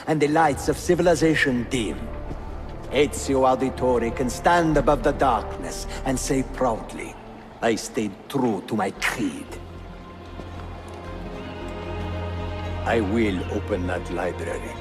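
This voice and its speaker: A man's voice: mid-range voice, a little slow, lightly acted. An actor playing a proud, idealistic Ottoman prince and villain, speaking eloquently and with control in a game cutscene. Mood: menacing, resolved. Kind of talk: dramatic game cutscene acting. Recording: music behind.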